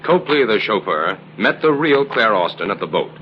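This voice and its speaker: An actor playing a calm, confident, methodical crime-fighting hero in an old-time radio adventure. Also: male voice, on the low side, moderate pace. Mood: confident, explaining. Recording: muffled, noisy old radio recording.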